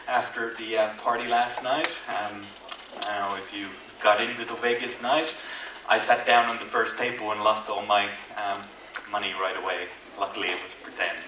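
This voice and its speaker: A man from Finland with a Finnish accent tinged with Irish, giving a casual, self-deprecating conference talk with many fillers and restarts. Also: lowish voice. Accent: Finnish, with Irish influence. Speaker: conference speaker. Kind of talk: conference presentation. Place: large conference hall. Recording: echoey hall, distant mic, faint noise.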